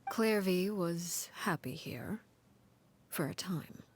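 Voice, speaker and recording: Cold composed game character, low voice, breathy half-whisper, measured, grave. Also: female voice, a little hiss or hum. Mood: grave, calm. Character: cold, composed woman recounting a grim past. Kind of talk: game story cutscene dialogue.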